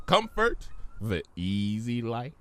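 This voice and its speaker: A man's voice: mid-range voice, huge pitch swings, takes it slowly, a bit bright, heavily acted. A man voicing a theatrical, grandiose trickster chimera posing as a genie in a fan fiction reading, wheedling and dramatic. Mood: persuasive. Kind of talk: fan fiction audiobook character voice.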